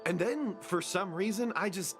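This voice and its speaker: A man playing a dazed, bewildered soldier in a video game, stammering and halting as he recounts events. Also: high voice, expressive, over music. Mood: bewildered, shaken. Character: shaken, bewildered young male soldier recovering from possession. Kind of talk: dramatic video game dialogue.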